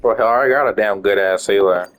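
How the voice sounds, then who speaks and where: A man's voice: lowish voice, brisk, fairly bright tone. Casual man commenting on a game. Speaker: gameplay commentator.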